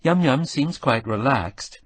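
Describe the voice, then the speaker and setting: A man voicing a brisk, businesslike woman who organizes volunteers. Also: mid-range voice, lively intonation, dry recording, voice pushed up.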